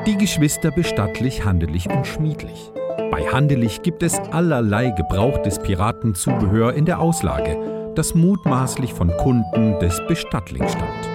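Theatrical pirate announcer, loud music. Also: male voice, medium pitch. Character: growling pirate announcer pitching shabby shops. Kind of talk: scripted comic advertising narration.

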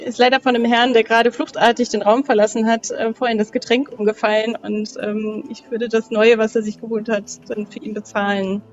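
A woman with a fairly high voice plays along in a tabletop roleplay. She is polite and hesitant, improvising casually over music, with a slightly dull sound to the recording. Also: flat delivery, a little fast, few pauses. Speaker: tabletop game player. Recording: band-limited dull audio, music behind.

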